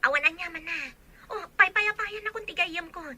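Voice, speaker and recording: A woman's voice: very high voice, moderate pace, crowd noise. A woman playing a helpful, breezy friend of a young couple in an Ilocano audio drama. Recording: faint background noise.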